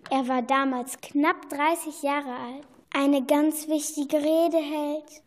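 A woman playing a kindly sorceress who sets riddles, speaking deliberately and instructively in an amateur children's radio play. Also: sits high, a little mellow.

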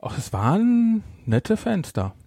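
A man's voice: high voice, huge pitch swings, slow, dark tone, husky, no echo, clean studio sound. A man hosting a podcast in a casual, rambling chat, often interrupting himself. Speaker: podcast host.

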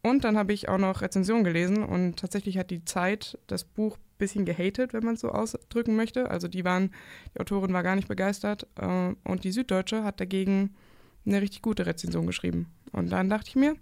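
A woman's voice: medium pitch, steady tone, brisk, creaky, a little mellow, no room sound, studio quality. A 24-year-old woman, a student and new co-host, chatting casually about a novel with lots of fillers. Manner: chatty, self-deprecating, many fillers. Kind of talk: casual chat.

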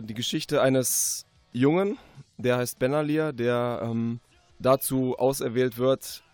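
A man's voice: mid-range voice, darkish tone, no echo. Male author interviewed, dry sound, faint music. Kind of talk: conversational radio interview.

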